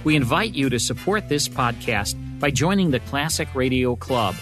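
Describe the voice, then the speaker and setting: Casting: male host for scripted podcast outro, medium pitch, faint music underneath. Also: expressive, moderate pace.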